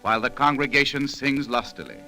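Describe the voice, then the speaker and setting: A man's voice: medium pitch, fairly quick. A man narrating a scripted 1930s radio program in a dramatic, formal storytelling manner. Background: music.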